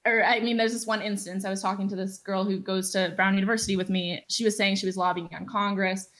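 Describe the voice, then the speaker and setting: Earnest eighteen-year-old podcast host. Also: female voice, mid-range voice, fairly even intonation, fast talker, a bit bright. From Los Angeles. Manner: earnest, many fillers like "um" and "like". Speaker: podcast host, youth organizer. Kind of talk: casual podcast chat.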